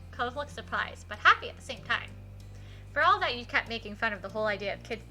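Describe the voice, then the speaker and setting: A woman's voice: fairly high pitch, big pitch swings, fast, bright, forceful tone, soft background music. A woman live streamer reading a visual novel aloud expressively and chatting casually, with enthusiastic, gushing reactions.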